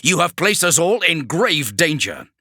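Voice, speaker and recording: A man's voice: fairly high pitch, big pitch swings, a little slow, pushed and bright, breathy edge, no room sound, studio quality, voice pushed up, exaggerated voice. A man doing a barking, raised-voice warlord. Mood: commanding angry. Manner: barking orders, curt. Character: harsh, commanding male warlord.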